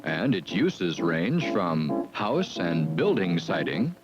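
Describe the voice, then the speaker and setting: A man giving measured, deliberate scripted narration for an educational training film. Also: low-pitched, very animated. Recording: dull lo-fi film soundtrack with music.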